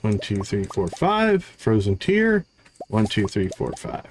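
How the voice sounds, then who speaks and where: A man's voice: on the low side, sing-song, slow pace, slight background noise. A man giving casual live gameplay commentary, thinking aloud as he counts off items and talks through his tasks. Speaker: live streamer, gaming host.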